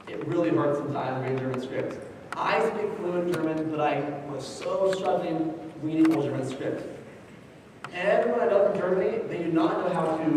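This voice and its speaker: High-voiced man lecturing in an echoing hall. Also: darkish tone. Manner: conversational, short choppy phrases, personal anecdotes. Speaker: genealogy conference presenter. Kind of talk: informal instructional lecture on genealogy. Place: large echoey indoor room, live talk. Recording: echoey hall, distant mic, faint noise.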